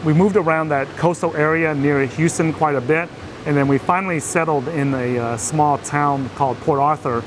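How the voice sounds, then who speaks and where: Interview guest, man from Vietnam, slightly high voice, hesitant, noisy background. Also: fairly even intonation, normal speed. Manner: hesitant, many fillers and restarts. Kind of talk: casual interview storytelling.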